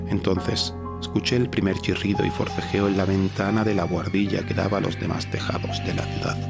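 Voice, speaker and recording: Low, gravelly male horror narrator. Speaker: podcast narrator reading a horror short story. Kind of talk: dramatic audiobook narration of gothic horror. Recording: narration over a music bed. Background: background music.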